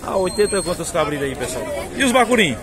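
A man's voice: high voice, very animated, fast. A man filming a walking vlog at an outdoor livestock market, calling out prices to viewers. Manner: calls out prices loudly to viewers. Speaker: vlogger touring an animal market. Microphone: handheld phone camera. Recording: loud crowd and bleating animals behind.

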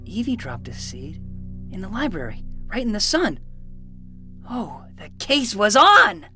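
A woman's voice: mid-range voice, sing-song, measured pace, music in the background. A woman voicing an eager, self-important twelve-year-old boy who plays detective with dramatic hard-boiled delivery in a fiction podcast. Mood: dramatic, excited. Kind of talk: fiction podcast acting and narration.

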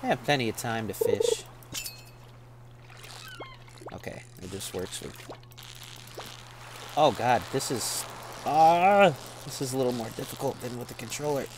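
A man's voice: medium pitch, lively intonation, unhurried, long pauses, background noise. A man casually commenting while playing a farming game, laid-back and rambling, often calling people "man". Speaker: gameplay streamer. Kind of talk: casual let's-play commentary.

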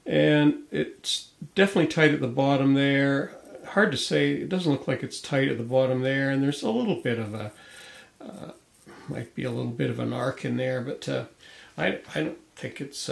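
A man's voice: medium pitch, creaky. A middle-aged man giving informal hands-on woodworking commentary, thinking aloud in a hesitant way as he works. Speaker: woodworking video host. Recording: roomy workshop sound, light background noise.

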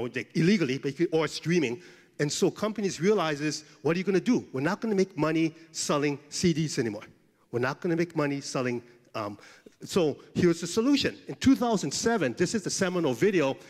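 A middle-aged male professor lectures from a big theater stage into a handheld mic. His voice sits a bit high for a man and stays lively, asking the crowd questions again and again. The hall adds a long ring after each phrase. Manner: animated, keeps checking in with listeners. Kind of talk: lecture to an audience. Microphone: handheld microphone.

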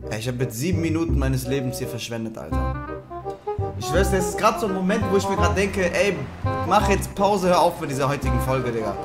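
A young man rants through a frustrating game session, cursing and venting at his viewers with a voice that leaps up and down. Loud game music plays under his talk, picked up by a desk microphone in a small home room. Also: on the high side, animated, a little fast. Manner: ranting, cursing, exasperated, addresses viewers. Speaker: gaming YouTuber. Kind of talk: angry let's-play commentary. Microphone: studio mic on arm with headphones.

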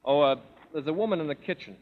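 A man's voice: on the high side, fast talker, lightly acted. An actor playing a friendly, earnest young male composer in a 1930s radio play, easygoing and polite. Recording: dull old radio broadcast with long hall reverb.

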